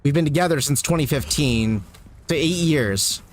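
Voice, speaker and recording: A man in his thirties streaming a video game live, chatting with viewers and mocking himself in an animated way while reacting loudly to enemies. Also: fairly high pitch, moderate pace, a little hiss or hum. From United States. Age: 30s.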